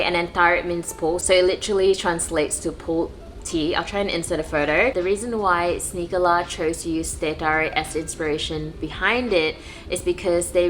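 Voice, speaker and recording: Woman, vlogger from Malaysia, lowish pitch, casual chat, enthusiastic, noisy background.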